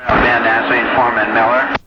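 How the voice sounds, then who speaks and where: A male railroad tower operator heard through a muffled scanner recording with clear static and noise. He gives dispatch instructions over two-way radio at a middle pitch, pausing often as he phrases them. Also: little pitch movement.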